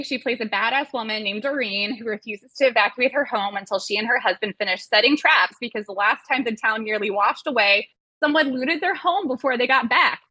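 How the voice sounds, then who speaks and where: A woman podcast host with a bright, fairly high voice. She reads scripted material and slips into casual asides in a wry, playful way. Her voice has some husk to it and is closely recorded and dry. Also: no room sound, poor audio.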